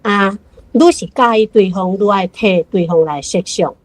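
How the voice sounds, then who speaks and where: A woman's voice: medium pitch, moderate pace. A woman with a Taiwanese accent giving a prepared, reflective commentary on a story as a guest.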